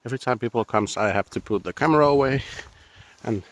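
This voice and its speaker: A man with a Finnish accent, Finnish as his first language, narrating a casual walk outdoors in halting English with wry, self-deprecating asides, recorded on a handheld camera. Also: mid-range voice, dry recording. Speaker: vlogger walking his dogs. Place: outdoors on a boardwalk through a swamp. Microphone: handheld camera mic.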